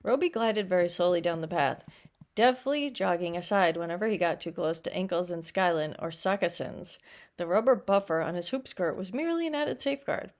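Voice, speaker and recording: A woman's voice: lowish voice, expressive, a little fast. A woman reading a short story aloud as a teacher, stumbling now and then over words and adding explanatory asides.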